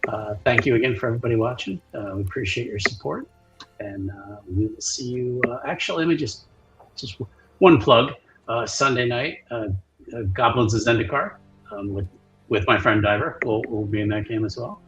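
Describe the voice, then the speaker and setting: A man's voice: mid-range voice, a little fast. A man talking casually and a little hesitantly as a player and host on a tabletop game livestream. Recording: dull lo-fi sound, music behind. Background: background music.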